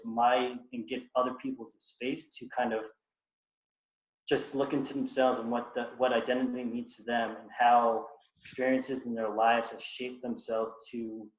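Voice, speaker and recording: A man from the United States speaking earnestly about his heritage on a video call, halting with many restarts. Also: mid-range voice, reverberant, low-quality recording. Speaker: guest speaker. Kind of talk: reflective personal talk on a video call.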